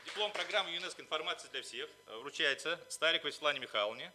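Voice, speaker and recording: A man's voice: fairly high pitch, animated, fast, sharp tone, slight background noise. A man delivering a formal, official prepared speech as a conference speaker. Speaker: conference speaker, representative of the organizers. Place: conference hall at a library.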